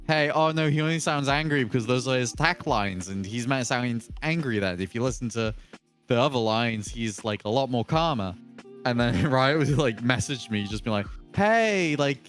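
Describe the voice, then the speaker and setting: A man talking casually on a podcast in a halting, conversational storytelling way. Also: mid-range voice, a bit bright. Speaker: podcast panelist. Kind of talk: casual podcast anecdote and chat.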